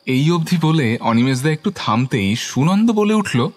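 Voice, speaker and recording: A man playing a genial narrator, reading a scripted audio story with expressive delivery. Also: fairly high pitch, a little slow. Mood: genial, storytelling.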